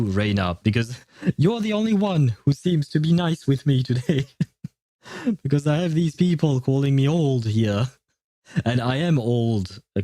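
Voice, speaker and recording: Male streamer, low relaxed mellow voice, dry close sound, rambling casual chat with playful grumbling. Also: no room sound. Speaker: livestream host, gaming streamer. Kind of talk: casual livestream chat with viewers.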